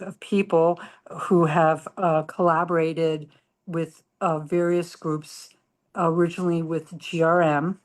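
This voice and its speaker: A middle-aged woman surgeon speaking from home on an online panel, talking in a rambling, self-effacing way. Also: low voice. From grew up in Tokyo and Singapore. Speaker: surgeon guest.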